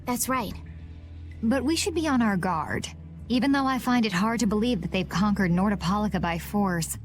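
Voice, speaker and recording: A woman's voice: medium pitch, expressive, moderate pace, close to an everyday voice. A woman playing an earnest, polite and caring young heroine in a video game. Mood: earnest, concerned. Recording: music under the voice.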